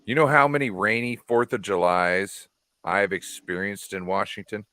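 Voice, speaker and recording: A man's voice: fairly low pitch, fairly even intonation, moderate pace, sharp tone, dry recording. A man from California chatting casually as a show host, rambling and swearing freely. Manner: loose, profane and rambling. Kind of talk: casual chat.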